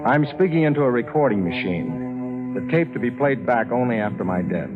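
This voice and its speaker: An actor playing a somber, loyal best friend narrating a 1950s radio drama. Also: male voice, mid-range voice, animated, normal speed, close to an everyday voice. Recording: dull 1950s radio recording, loud music.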